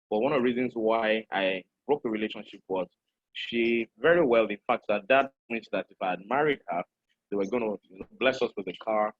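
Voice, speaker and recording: A middle-aged Nigerian man, a public speaker, giving an animated, joking seminar lecture and addressing his class directly. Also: fairly low pitch, dry recording. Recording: dull, dry lo-fi recording.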